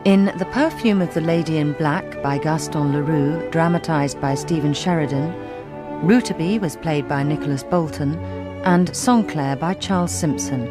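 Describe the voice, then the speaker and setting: A woman reading scripted closing credits as a radio announcer, formal and measured. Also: low voice. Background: violin music.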